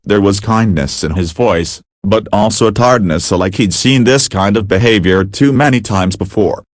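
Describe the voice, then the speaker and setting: A man delivering scripted first-person story narration in a deliberate, measured way. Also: on the low side, dry recording, clean studio sound.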